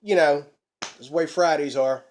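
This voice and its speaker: A middle-aged man, a bit hoarse from a cold, chatting folksily to his webcam at home about ukuleles. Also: a little high, moderate pace, a little mellow. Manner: folksy, chatty, preacher-like emphasis. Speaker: ukulele channel host. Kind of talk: casual instructional vlog. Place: small room at home, indoors.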